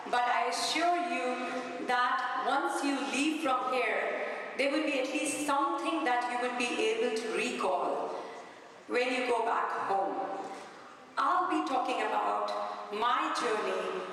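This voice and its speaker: A middle-aged woman from India giving an inspirational talk on stage, speaking in measured phrases with pauses for effect. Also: a little high, a bit bright, a little hiss or hum. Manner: slow, deliberate, with pauses for effect. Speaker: invited speaker. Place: large hall. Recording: echoey hall, far from the mic.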